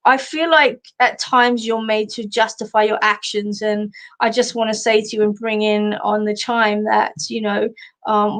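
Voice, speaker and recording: An Australian woman, a psychic medium and life coach, giving a casual card reading with many fillers and restarts in a slightly husky voice. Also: medium pitch, fairly bright tone.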